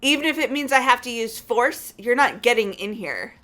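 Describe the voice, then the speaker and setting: A woman's voice: a little high, animated, moderate pace, a bit bright. A woman voicing a stubborn, angry, grieving and loyal young woman in a fan-voiced visual novel game. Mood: angry, defiant.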